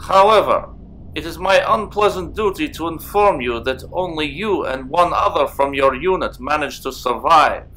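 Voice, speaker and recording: An actor playing a brusque, cryptic Russian military hospital medic in an audio drama, speaking in a formal, measured, clipped way. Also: male voice, on the high side, bright, forceful tone, a little hiss or hum, poor audio. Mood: cool, formal.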